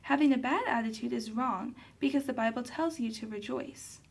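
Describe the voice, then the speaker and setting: A woman voicing a patient but firm mother in a children's puppet show, gently scolding and instructive. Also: a little high, normal speed, creaky, breathy edge. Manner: instructive, admonishing.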